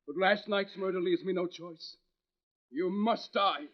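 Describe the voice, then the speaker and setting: An actor playing a brooding, tormented husband, both menacing and tender, with intense, halting melodrama in 1940s radio mystery style. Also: male voice, sits high. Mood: pleading menace. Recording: dull old radio recording.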